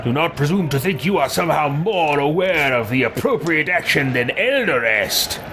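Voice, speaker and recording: A man's voice: on the high side, expressive, normal speed, pitched above the performer's own voice. A man voicing a pompous, self-important wizard adventurer with haughty, formal phrasing in a fantasy game roleplay. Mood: haughty, impatient. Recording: loud game music behind the voice.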